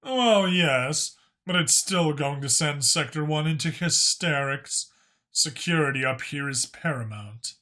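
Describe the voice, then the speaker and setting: Man voicing a composed, bright-toned dragon spymaster. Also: on the high side, lively intonation, a little slow. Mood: matter-of-fact. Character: composed, authoritative male dragon spymaster, scheming and wry. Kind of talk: audiobook character acting.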